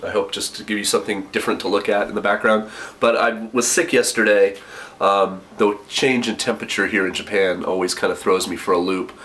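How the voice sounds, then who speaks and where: A man's voice: fairly low pitch, fairly even intonation, a little fast, fairly bright tone. A young man with a cold, sounding a bit nasal, giving chatty application advice in his kitchen with lots of ums. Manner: chatty, many ums and self-corrections. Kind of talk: casual advice vlog.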